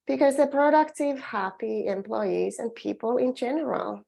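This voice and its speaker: A woman's voice: a little high, normal speed, creaky, mellow. A middle-aged woman from Finland with a Finnish accent, giving an earnest, encouraging webinar presentation as a well-being coach, often restarting her phrases.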